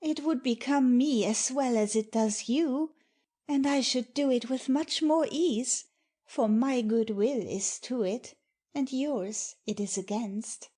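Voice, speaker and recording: A woman plays a tender young maiden in a Shakespeare audiobook. Her voice is high and soft-edged, mellow in tone, and she takes the verse slowly and earnestly, with innocent devotion. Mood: tender concern. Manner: slow, earnest delivery of verse. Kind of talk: dramatic Shakespeare audiobook reading.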